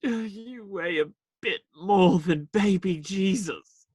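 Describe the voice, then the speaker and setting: A man's voice: high-pitched, slow, darkish tone, breathy edge, no room sound, studio quality, full character voice. A man acting in a radio play as a cheeky, teasing outlaw given to playful, theatrical banter.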